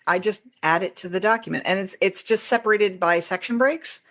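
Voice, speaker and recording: A woman who writes fiction, chatting casually as a guest and telling stories, animated when quoting her own reactions. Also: medium pitch, a little fast.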